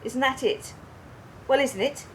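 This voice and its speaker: A woman's voice: sits high, a little slow, slight background noise. A woman playing an indignant, confrontational young wife in a radio play, speaking emphatically. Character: angry, indignant young wife in a roadside quarrel.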